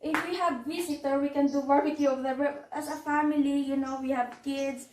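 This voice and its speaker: A woman with a Filipino accent and a slightly hoarse voice, chatting haltingly as she gives a casual house tour. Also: high-pitched, fairly even intonation. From Philippines. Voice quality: hoarse, with throat clearing. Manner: halting, chatty, repeats phrases. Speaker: vlogger giving a house tour. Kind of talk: casual vlog narration. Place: walking around a house, patio and indoors. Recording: roomy echo, distant mic, background noise.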